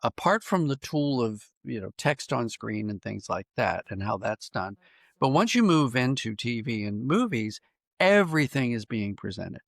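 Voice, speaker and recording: A middle-aged or older American man explaining ideas in a conversational interview, thoughtful and halting, often restarting his phrases. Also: medium pitch, very animated, gravelly, no echo, clean studio sound. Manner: thoughtful, halting with repeated false starts. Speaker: podcast guest, writer and dramatist.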